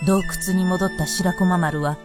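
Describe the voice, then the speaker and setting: A woman's voice: lowish voice, steady tone, a little slow, over music, natural voice. A woman narrator delivering scripted storytelling narration for a Japanese radio drama.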